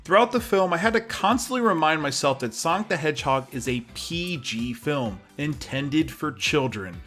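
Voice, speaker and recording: A man's voice: fairly high pitch, expressive, normal speed, a bit bright, faint music behind. A man hosting and narrating a scripted film review.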